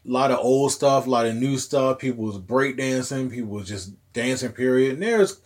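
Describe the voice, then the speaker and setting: A man with a hoarse, recovering voice hosting a casual solo podcast, rambling along in a laid-back way. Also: medium pitch, normal speed. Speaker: podcast host.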